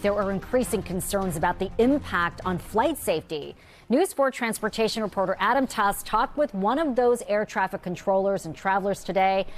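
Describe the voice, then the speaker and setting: An American woman anchors a television newscast in a medium-pitched voice. Her delivery is scripted broadcast presentation, with faint music underneath. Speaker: TV news anchor.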